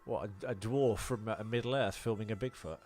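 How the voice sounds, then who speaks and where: Low voiced guy on a movie podcast, rambly explainer, swears a bit. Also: brisk, a little mellow, soft background music. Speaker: podcast co-host. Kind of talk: casual film podcast chat.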